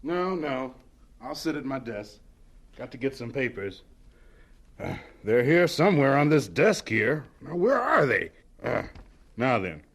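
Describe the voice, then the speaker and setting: An actor in an audio drama playing a busy, genial but demanding college principal with a clipped, bustling manner. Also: male voice, mid-range voice, fairly bright tone. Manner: clipped, bustling, self-interrupting, emphatic.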